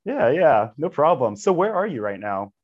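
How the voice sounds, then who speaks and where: A man hosting a casual podcast interview, relaxed and easygoing, often saying "right on". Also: a little high, normal speed. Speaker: podcast host. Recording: slightly dull lo-fi sound.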